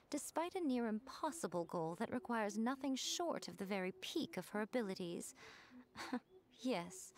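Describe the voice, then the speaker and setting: An actress playing a sly, teasing woman of high rank, languid and knowing as she speaks to a younger companion. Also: slight background noise, natural voice. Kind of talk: video game voice acting.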